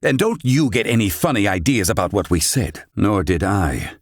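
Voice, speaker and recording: A man's voice: fairly low pitch, very animated, moderate pace, no echo, studio quality. An actor playing a proud, grumpy, brainy older man in a video game, with pompous, blustering, wordy old-fashioned diction. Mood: proud, grumbling. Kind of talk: dramatic English-dub game acting.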